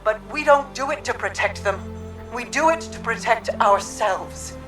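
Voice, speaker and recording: An actress playing a grave, compassionate woman sage, speaking in a measured, formal, poetic way for a game cutscene. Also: a little high, music in the background, lightly acted. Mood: gentle sorrow. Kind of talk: dramatic video game cutscene.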